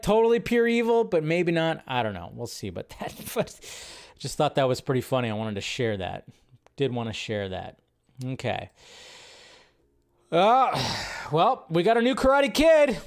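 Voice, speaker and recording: A man's voice: a little high, big pitch swings, a little slow. A man hosting a casual movie news show, rambling and laughing as he talks. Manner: rambling, laughs often, trails off. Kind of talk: casual solo commentary.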